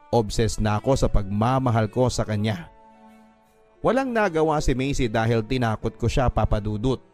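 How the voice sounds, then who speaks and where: A man's voice: medium pitch, darkish tone. A man reading a listener's letter aloud in the first person as a radio drama narrator, earnest and heartfelt. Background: faint background music.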